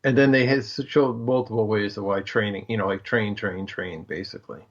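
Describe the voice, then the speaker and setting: A man's voice: fairly low pitch, fairly quick. A man co-hosting a podcast in casual, informal chat, repeating words for emphasis, on slightly dull lo-fi audio. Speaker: podcast co-host.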